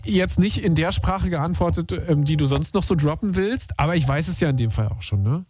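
A man's voice: fairly high pitch, lively intonation, fairly quick, a little mellow, husky. A man hosting a radio phone-in, talking casually and chattily with youthful slang.

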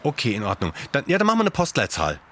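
A man's voice: mid-range voice, wildly swooping pitch, very fast, a bit bright, a little hiss or hum. A middle-aged man hosting a morning radio show, chatting casually and speaking directly to his listeners. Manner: addresses listeners directly, conversational.

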